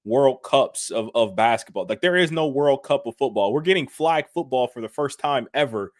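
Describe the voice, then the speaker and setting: A man co-hosting a sports podcast, explaining news and making his case in a measured, casual way. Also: mid-range voice, animated, no room sound, clean studio sound. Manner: measured, explaining, some restarts. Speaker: podcast co-host.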